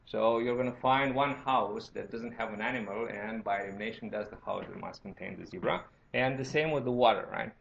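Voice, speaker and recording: Gravelly Romanian-accented man lecturing, dull recording. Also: lowish voice, brisk, fairly bright tone. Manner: explanatory, often checking with "right?" and "okay?". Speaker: university lecturer. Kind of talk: informal tutorial lecture.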